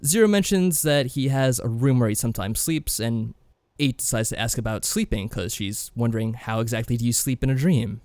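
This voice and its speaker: A man co-hosting a podcast, discussing games casually in a measured, mild-mannered way. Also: mid-range voice, darkish tone, no room sound. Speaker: podcast co-host.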